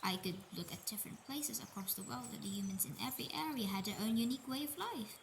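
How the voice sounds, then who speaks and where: A woman's voice: on the high side, expressive, quick pace, slight background noise. A woman voicing a sickly, motherly Earth goddess in an intimate roleplay monologue, hesitant and emotional, dipping toward whispers. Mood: tender pride. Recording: nature ambience with birdsong behind voice.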